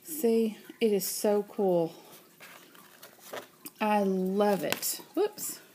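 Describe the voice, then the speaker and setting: A woman with a stuffy, nasal voice from a cold talks slowly and gently, filling pauses with many ums. She speaks to a camera mic on a table at home, with a faint hiss behind her. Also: mid-range voice, fairly even intonation. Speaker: craft vlogger. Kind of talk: casual show-and-tell.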